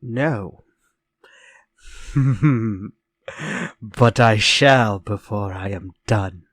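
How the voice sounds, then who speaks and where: An actor playing a sinister, vengeful ex-convict murderer in retro radio drama style, speaking in a slow, theatrical, menacing way. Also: male voice, lowish voice, a bit bright, no room sound, heavily acted. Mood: grim, theatrical.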